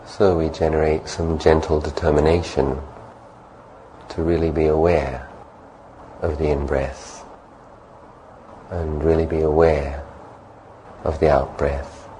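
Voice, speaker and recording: A man calmly leading a guided meditation as a monk, giving gentle, unhurried instructions. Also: very deep voice, slight background noise, poor audio.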